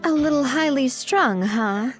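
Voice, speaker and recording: A poised young woman from a video game, friendly and a little wry. She speaks high and with animated melody, like a theatrical storyteller, over faint music. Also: unhurried.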